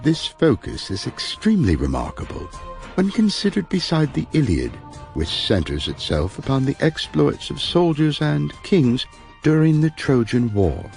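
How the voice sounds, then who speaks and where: A man's voice: mid-range voice, very animated, moderate pace, vocal fry, darkish tone, soft background music. A man reading a scripted literary introduction as an audiobook narrator.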